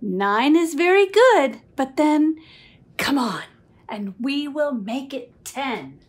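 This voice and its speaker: Middle-aged female storyteller for a kids' picture book, very animated range, unhurried and sing-song. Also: high voice. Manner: expressive, sing-song, slow, with whispered asides. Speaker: children's book reader. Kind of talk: animated read-aloud of a picture book for young children. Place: small room at home.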